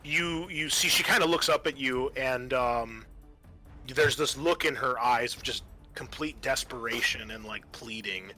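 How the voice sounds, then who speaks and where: Bright-voiced man running a horror game. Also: medium pitch. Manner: halting delivery with vivid, dramatic descriptions. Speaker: game master. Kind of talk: improvised tabletop horror game narration. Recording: music playing behind.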